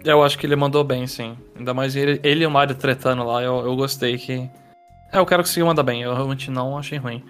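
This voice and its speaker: A man co-hosting a casual film review podcast, animated and acting out quotes as he tells stories. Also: mid-range voice, fast, soft background music. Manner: animated storyteller, acts out quotes in raised voice. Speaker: podcast co-host.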